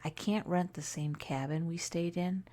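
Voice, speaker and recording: A woman narrating a scary story in a soft, breathy ASMR style, often near a whisper, hushed and deliberate. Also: lowish voice, fairly even intonation.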